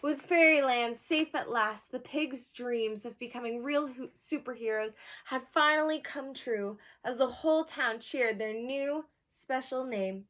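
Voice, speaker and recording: Slow-paced woman reading to children, higher voice. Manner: expressive, addressing children with questions. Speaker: children's storyteller. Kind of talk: storybook read-aloud with asides to young listeners.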